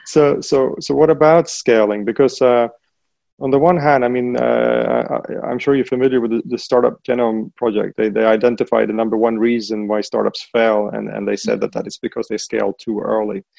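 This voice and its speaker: A man's voice: mid-range voice, little pitch movement, brisk, vocal fry, darkish tone, no room sound. A middle-aged man hosting an interview, speaking in a hesitant way with many restarts and repeated words. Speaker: podcast host, innovation consultant. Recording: dry close-mic sound.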